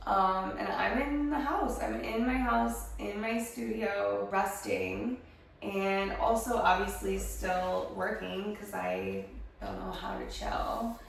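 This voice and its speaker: Young woman vlogger, hoarse from a cold, gravelly and chatty, a bit far from the mic in a very echoey room. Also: medium pitch, animated, slow, a little hiss or hum. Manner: chatty, lots of fillers. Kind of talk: casual chat to camera. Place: her home studio.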